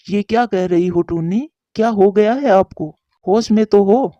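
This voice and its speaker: A woman's voice: mid-range voice, unhurried, mellow, no echo, highs cut off, lightly acted. A woman voicing a caring, devoted male pigeon husband in a cartoon, with a concerned, reassuring manner. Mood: concerned, shocked. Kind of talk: Hindi cartoon voice acting.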